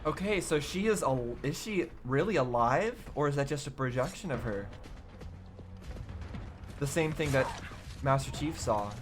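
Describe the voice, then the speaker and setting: A man hosting a reaction video, commenting casually and haltingly, often wondering aloud in questions. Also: medium pitch, animated, soft background music. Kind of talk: casual, off-the-cuff commentary on game cutscenes.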